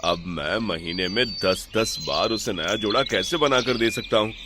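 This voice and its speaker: A man's voice: on the low side, normal speed, background noise, lightly acted. A man playing a poor, God-fearing fisherman husband in a cartoon moral story, speaking in an earnest, plaintive way. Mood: weary, pleading. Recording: loud music bed behind voice. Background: music.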